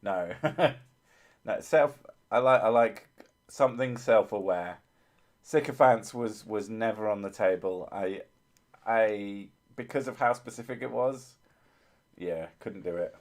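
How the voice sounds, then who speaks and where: A man with a low, soothing voice chats loosely through a livestream, rambling and poking fun at himself. He laughs often and drifts from one thought to the next. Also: unhurried. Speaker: livestream host. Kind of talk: casual livestream chat.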